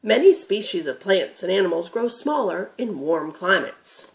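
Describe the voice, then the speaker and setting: A woman's voice: medium pitch, very animated, moderate pace, natural voice. A woman voicing a patient, knowledgeable grandfather who explains things emphatically in a children's storybook reading. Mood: patient, explanatory.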